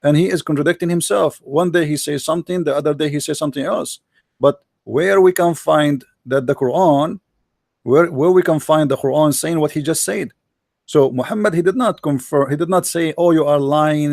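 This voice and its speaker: Debate host, Arabic accent, forceful and pressing, dry mic. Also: male voice, fairly high pitch, moderate pace, no echo. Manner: forceful, argumentative, quotes sources. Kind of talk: religious debate.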